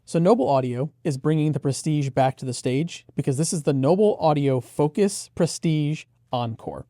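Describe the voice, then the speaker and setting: A man's voice: fairly high pitch, normal speed, mellow, no echo, studio quality. A man co-hosting a product preview, explaining features conversationally with easygoing, approving asides. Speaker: audio gear reviewer and co-host.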